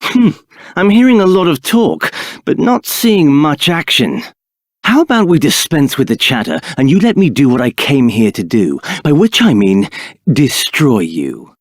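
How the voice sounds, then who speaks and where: An arrogant, bitter fantasy game villain with a high male voice. His delivery swings widely in pitch and takes its time, haughty and declamatory, as befits someone hungry for power. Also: big pitch swings, a little slow, no echo, clean studio sound. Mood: disdainful, impatient. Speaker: video game voice actor. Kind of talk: theatrical video game character acting.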